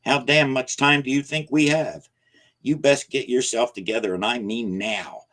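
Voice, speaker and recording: A man voicing an aging rural man who scolds in rough, folksy grammar, angry and frightened. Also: on the low side, moderate pace, close to an everyday voice. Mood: urgent, scolding. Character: gruff aging backwoods man, scared and angry.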